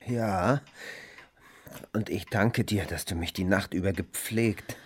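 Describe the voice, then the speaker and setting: Low, slow, ailing husband. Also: male voice, husky, full character voice. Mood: weak, uneasy. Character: weak, feverish, suspicious ailing husband. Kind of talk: German radio play acting.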